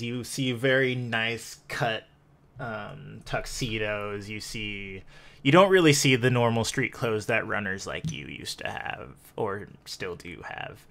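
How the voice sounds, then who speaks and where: A man's voice: on the low side, gravelly, sharp tone. A man narrating a tabletop roleplaying game as game master in a casual, conversational way.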